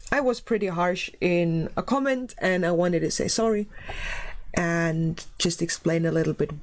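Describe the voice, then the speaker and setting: A woman chatting to camera at an unhurried pace. She is a little under the weather and her voice sounds slightly off. She is chatty and apologetic and giggles now and then. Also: on the low side. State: slightly sick, voice a bit off. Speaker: YouTube commentary host. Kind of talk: casual talk to camera.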